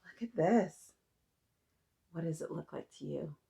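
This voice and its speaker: Lower-voiced woman reading to little kids. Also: animated, a little slow, gravelly, dark tone. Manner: sing-song, encouraging, asking listeners questions. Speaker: children's picture book read-aloud host. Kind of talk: animated read-aloud storytelling for young children.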